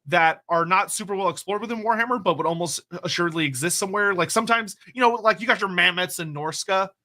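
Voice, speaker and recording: A young man co-hosting a live stream, his voice pitched high and bright. He rambles with eager enthusiasm, swearing casually as he reads viewer questions and riffs on fantasy lore. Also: fast. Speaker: co-host reading viewer questions.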